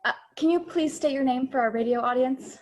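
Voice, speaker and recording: A woman's voice: high voice, brisk, close to an everyday voice. A young woman playing a flustered, polite radio news reporter in a spoof radio play, hesitant and stumbling. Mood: formal, puzzled. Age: teens to young adult. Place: bedroom, video call.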